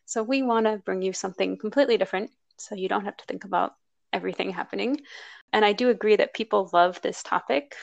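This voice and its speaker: A woman's voice: medium pitch, fast talker, gravelly, no room sound, muffled recording. A woman hosting a podcast, upbeat and chatty, with easy laughter in a casual conversation. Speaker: podcast host.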